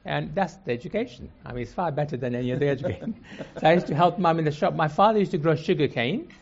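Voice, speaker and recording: A man's voice: a little high, fast, vocal fry, muffled recording. An older man, born in Kenya and raised speaking Swahili and Gujarati, telling his life story as a guest on stage. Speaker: guest, cancer surgeon. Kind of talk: conversational storytelling. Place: before a live audience in a hall.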